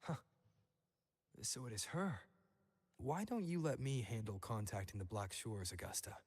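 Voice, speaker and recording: A courteous, easygoing male advisor in a fantasy game. His medium-pitched voice is husky and sounds in a reverberant hall. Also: very animated, measured pace. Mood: calm, helpful. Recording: long reverberant hall echo.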